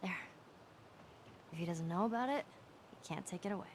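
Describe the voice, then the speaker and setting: A woman's voice: fairly quick, natural voice. A woman playing a guarded but caring teenage girl survivor in a naturalistic video game scene. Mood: subdued. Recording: quiet game audio under commentary, faint rumble.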